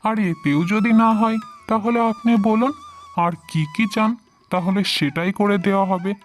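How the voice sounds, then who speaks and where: A man's voice: high-pitched, moderate pace, natural voice. A man performs a wealthy young groom from a cartoon moral tale. He sounds earnest, devoted and reassuring. Music plays behind his lines. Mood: eager, reassuring.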